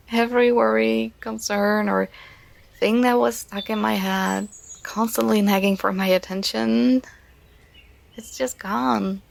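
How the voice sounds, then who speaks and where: A woman playing an affectionate, contented girlfriend in an ASMR roleplay, speaking in a tender, soothing, intimate and unhurried manner. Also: medium pitch, vocal fry, exaggerated voice. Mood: calm, content.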